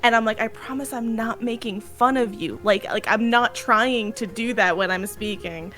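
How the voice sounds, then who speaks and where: Bright-voiced woman with a New Jersey accent. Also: fairly high pitch, moderate pace. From New Jersey, United States. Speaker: podcast host. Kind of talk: casual podcast discussion.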